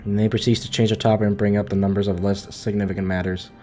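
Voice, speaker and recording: A man's voice: lowish voice, level tone, quick pace, mellow, faint music behind. A man livestreaming a video game with casual, slangy, emphatic commentary, often stumbling and repeating himself.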